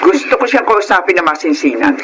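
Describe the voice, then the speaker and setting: A high, lively voice of a protective Filipino mother acted by a man in radio melodrama. The delivery is stern and scolding, with clear noise on the recording. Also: a little fast, exaggerated voice, low-quality recording. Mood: stern, fed up. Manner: scolding, parental. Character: protective, stern, disapproving mother of a married daughter.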